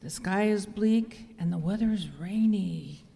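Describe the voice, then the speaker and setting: A woman's voice: medium pitch, gravelly, warm tone, slight background noise. A woman playing a gleefully ominous horror-show hostess, speaking theatrically in the manner of old-time radio. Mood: ominous glee. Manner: theatrical, slow, drops into whispers. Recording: echoey hall with background music.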